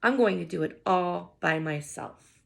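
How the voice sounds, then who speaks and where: A woman's voice: lowish voice, a little slow, creaky, heavily acted. A woman voicing a polite, determined nine-year-old boy in a storybook, with an earnest manner. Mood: firm, polite.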